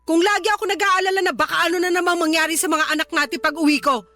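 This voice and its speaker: A woman's voice: very high voice, fairly even intonation, fast, bright, forceful tone. A woman playing an exasperated, sarcastic young working mother who scolds her partner in a Tagalog radio drama. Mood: angry, exasperated. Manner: nagging, sarcastic. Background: background music.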